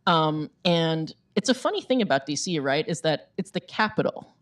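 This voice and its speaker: A woman's voice: sits low, expressive, normal speed. A woman author giving a conversational book talk in an informal way, often checking in with "right?". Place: bookstore event before an audience.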